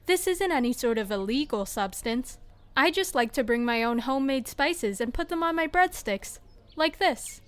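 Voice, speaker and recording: A woman's voice: sits high, lively intonation, moderate pace, a bit bright, smooth. A woman playing a weird, secretive lab worker in an audio fiction podcast, speaking in a polite, formal, quirky way. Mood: matter-of-fact. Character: odd, cheerful, secretive woman lab worker who relishes unpleasantness.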